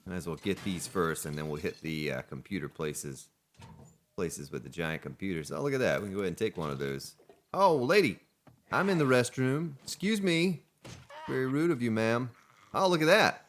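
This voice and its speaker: A man's voice: fairly low pitch, big pitch swings, normal speed, slight background noise. A man casually commenting on his gameplay as a let's play host, chatting and joking with the characters on screen. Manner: chatty, jokes at game characters.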